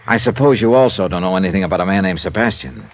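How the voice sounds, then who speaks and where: A tough American detective from an old radio play. His voice sits fairly low, and he speaks in clipped, wry, suspicious lines like an interrogator. The sound is dull and band-limited, like an aged broadcast. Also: quick pace, gravelly, slight background noise. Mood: stern, pressing. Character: tough, suspicious, sardonic male insurance investigator. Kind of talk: old-time radio detective drama acting.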